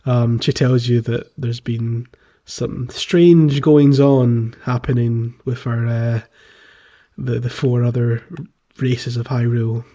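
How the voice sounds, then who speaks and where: A man co-hosting a podcast, talking casually about a video game with hesitant, measured phrasing. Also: medium pitch, flat delivery, warm tone, dull audio. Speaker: podcast co-host.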